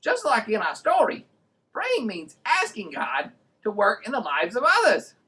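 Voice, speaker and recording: A woman's voice: fairly high pitch, huge pitch swings, a little slow, sharp tone, exaggerated voice. A woman voicing a cheerful, earnest young puppet kid who explains things simply on a children's show. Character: excitable young puppet kid named Tommy, cheerful teacher.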